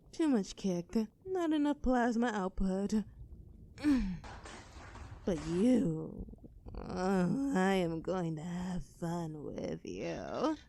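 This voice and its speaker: A woman's voice: mid-range voice, very animated, extremely slow, vocal fry, pauses often, darkish tone, exaggerated voice. A woman playing a gleefully cruel female mad scientist in a horror audio roleplay, speaking theatrically in precise, formal phrases. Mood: gleeful, manic.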